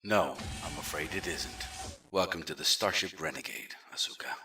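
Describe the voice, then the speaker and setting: A man's voice: low voice, normal speed, reverberant, distant mic, music in the background, lightly acted. An actor playing a coldly polite, threatening male general holding a prisoner. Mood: smooth menace. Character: menacing male general, smooth and patronizing.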